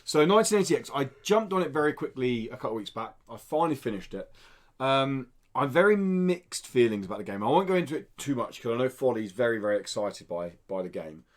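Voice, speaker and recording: A man's voice: mid-range voice, sing-song, fast. A man co-hosting a casual gaming podcast, talking in an enthusiastic, rambling, informal way. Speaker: podcast co-host.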